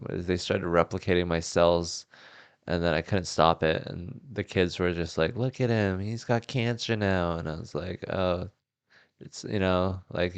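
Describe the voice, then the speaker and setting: A man hosting a podcast, improvising comedy scenes in a deadpan way and laughing often. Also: low voice, gravelly, smooth, dry recording. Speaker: podcast host. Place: house.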